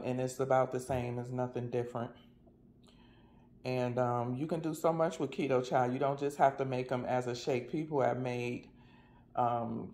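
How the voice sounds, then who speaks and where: A man's voice: mid-range voice, gravelly, slight background noise. A man with an American accent chatting casually in a folksy, colloquial way, as a vlogger reviewing products.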